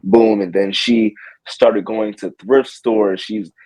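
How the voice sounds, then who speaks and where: A man's voice: lowish voice, steady tone, slow, a little mellow, slightly rough, dry recording, low-quality recording. A man in his early twenties, a guest on a casual podcast, talking in a laid-back way full of "like". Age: 20s. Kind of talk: casual interview chat.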